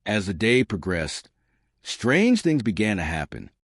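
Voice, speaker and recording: A man narrating a scripted horror story in a measured, dramatic way. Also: lowish voice, very animated, no room sound, clean studio sound.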